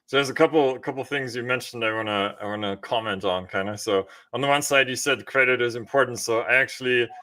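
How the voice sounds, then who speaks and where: Guy hosting a podcast, bright forceful voice, chatty explaining. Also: medium pitch, fast talker, few pauses. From Austria. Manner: informal, often checks with "right?". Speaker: podcast host. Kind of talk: casual conversational explanation.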